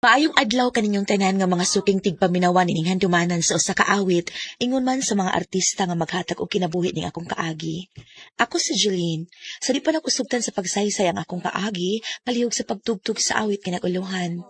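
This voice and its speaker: A woman's voice: mid-range voice, a little fast, a bit bright, husky. A woman reading a scripted letter that introduces a life story on a radio drama programme. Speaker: letter writer presenting a story.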